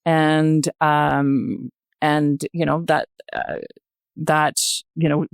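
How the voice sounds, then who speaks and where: An older woman with a Canadian accent, a guest speaking reflectively in a podcast interview, hesitant and thoughtful, often pausing mid-sentence. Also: deep voice, fairly even intonation, vocal fry, clear, resonant tone, no echo, studio quality. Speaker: podcast guest, retired journalist.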